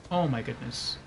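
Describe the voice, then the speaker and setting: A man's voice: mid-range voice, creaky, a little hiss or hum, poor audio. A man giving casual livestream game commentary, thinking aloud and reasoning things out step by step.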